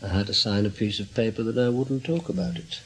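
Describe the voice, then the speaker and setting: An actor playing a guarded, steady British intelligence man in a radio drama, terse and understated with a slightly husky voice. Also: mid-range voice, brisk, lightly acted, poor audio. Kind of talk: naturalistic radio drama acting.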